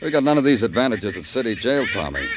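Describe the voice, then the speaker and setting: An actor playing a world-weary city police detective in 1950s radio noir style, terse, wry and brooding. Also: male voice, mid-range voice, very animated, quick pace, noisy background, lightly acted. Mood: dry, needling. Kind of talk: 1950s radio noir acting, hardboiled narration. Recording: old radio recording with music.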